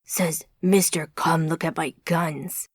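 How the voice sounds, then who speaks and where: A woman doing a deep-voiced big American man. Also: fairly even intonation, slow pace, dry recording, studio quality. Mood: teasing. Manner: joking, teasing.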